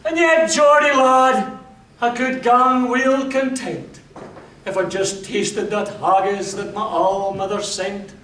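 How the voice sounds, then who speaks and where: A man performing a stubborn, loyal young soldier, wounded yet pushing on, his voice pitched very high and raised with urgency. He speaks slowly and with weight, caught from a distance in a roomy space. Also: fairly even intonation, fairly bright tone, slight background noise. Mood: wistful.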